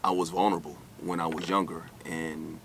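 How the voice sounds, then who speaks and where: A man's voice: deep voice, level tone, a little fast, gravelly, music in the background. A man from the United States, raised in Alabama, speaking candidly and conversationally as an interview guest, often repeating and circling back over his phrases. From United States, born in New York, raised in Alabama. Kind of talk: candid personal storytelling in an interview.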